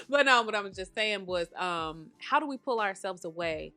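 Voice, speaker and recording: A woman's voice: mid-range voice, big pitch swings, measured pace, bright, forceful tone, no echo, faint music behind. A woman in her thirties hosting a podcast from home, talking casually and calling listeners honey and baby, a little nasal and congested today. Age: 30s. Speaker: podcast host.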